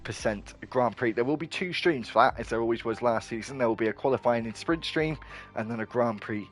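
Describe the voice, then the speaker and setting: A man giving live play-by-play commentary on an online racing league, excitable and quick to correct himself. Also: medium pitch.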